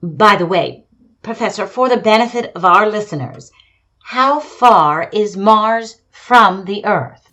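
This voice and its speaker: A woman's voice: medium pitch, animated, slow pace, a bit bright. Radio-play field reporter, actress, female, mid-range voice, 1930s style, formal, courteous, descriptive. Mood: inquisitive, polite. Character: poised female radio field commentator interviewing an astronomer. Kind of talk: 1930s radio drama live commentary.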